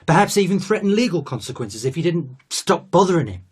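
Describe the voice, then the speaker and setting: A man's voice: fairly high pitch, very animated, fast, husky. A man playing an insistent police interrogator in a naturalistic radio drama. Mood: pressing, accusing.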